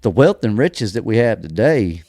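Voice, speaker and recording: An American man hosting a religious podcast, delivering emphatic commentary full of rhetorical questions, pauses and restarts. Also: fairly low pitch, sing-song, warm tone, dry recording, clean studio sound. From United States. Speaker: podcast host. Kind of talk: preaching-style religious commentary.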